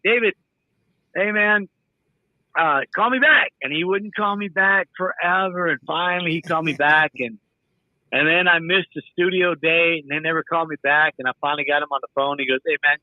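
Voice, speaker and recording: A man telling stories in a casual podcast chat, animated, acting out what other people said. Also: on the high side, dry recording. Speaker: guest musician. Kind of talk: casual storytelling. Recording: tinny phone-like sound.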